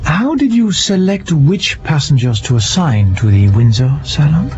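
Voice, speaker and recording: An actor playing a courteous, eager, deferential lieutenant of a luxury liner in an audio drama. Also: male voice, fairly high pitch, sing-song, unhurried. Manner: polite, deferential, enthusiastic. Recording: muffled audio, loud background noise.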